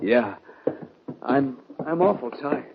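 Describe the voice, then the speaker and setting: A man playing an anguished young outlaw cowboy with a Western drawl and husky voice, in 1950s radio western style. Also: medium pitch, lively intonation, background noise. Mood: weary, wounded. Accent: American Western drawl. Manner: emotional, halting, pleading. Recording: dull vintage 1950 radio recording.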